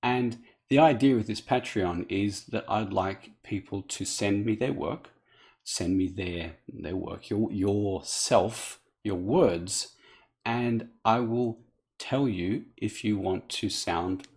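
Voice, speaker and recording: A man speaks in a low, gravelly voice. He talks earnestly and off the cuff as a coach, breaking off and restarting his phrases. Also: slow pace, darkish tone. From Australia. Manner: earnest, unscripted, restarts often. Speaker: accent coach. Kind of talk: direct-to-camera appeal.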